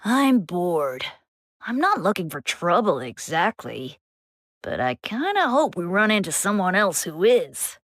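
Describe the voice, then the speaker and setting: A woman's voice: lowish voice, very animated, measured pace, fairly bright tone, no room sound, studio quality. A woman voicing a playful, mischievous young church deacon for a game, chatty, breezy and teasing. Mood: bored, breezy. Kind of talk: scripted game character acting.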